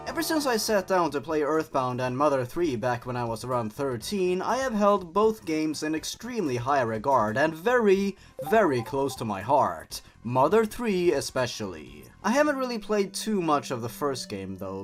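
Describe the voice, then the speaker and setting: Very animated man, non-native English speaker. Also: mid-range voice, moderate pace. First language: not English. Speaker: host reading and reviewing horror stories. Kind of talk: scripted commentary and story reading. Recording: music behind the voice. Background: background music.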